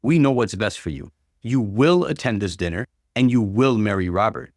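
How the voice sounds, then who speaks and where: A man's voice: lowish voice, lively intonation, normal speed, no room sound, clean studio sound. A man voicing a stern, cold middle-aged father in a story, firm and commanding.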